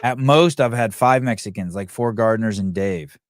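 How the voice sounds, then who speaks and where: A lower-pitched man hosts a casual podcast chat. He talks in an animated, profane way and slips into voices when he tells a story. The sound is close and dry. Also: normal speed, dry recording. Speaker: podcast host. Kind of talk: casual banter. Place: California home.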